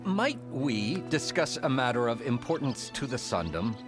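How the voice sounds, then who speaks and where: An actor playing a courtly magistrate in a fantasy video game, formal, measured and deferential, with ornate politeness. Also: male voice, medium pitch, big pitch swings, close to an everyday voice. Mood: courteous, reserved. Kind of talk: video game character acting. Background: music.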